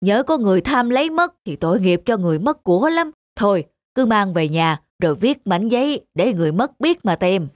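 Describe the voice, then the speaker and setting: A woman's voice: mid-range voice, very animated, fairly quick, few pauses, dry recording, clean studio sound, natural voice. A woman voicing an honest, humble middle-aged male farmer in a folktale cartoon, plain and principled in manner. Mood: concerned. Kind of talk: Vietnamese folktale cartoon acting.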